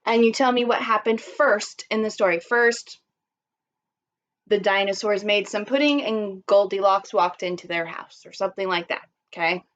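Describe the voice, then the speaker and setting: A woman's voice: mid-range voice, normal speed, fairly bright tone, dull audio, slight background noise. A woman primary school teacher speaking expressively and instructively to young children in simple phrasing, as in a read-aloud lesson.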